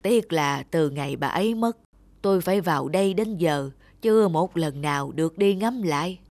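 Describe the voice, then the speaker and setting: A man's voice: a little high, animated, moderate pace, darkish tone, no room sound. A man voicing a frail old widowed father in a cartoon, rambling along in a wistful, nostalgic way. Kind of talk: cartoon voice acting.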